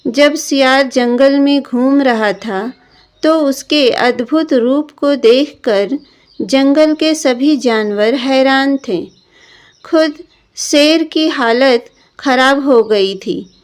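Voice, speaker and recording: A woman narrating a scripted children's story in a deliberate storyteller's manner. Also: high voice. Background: background music.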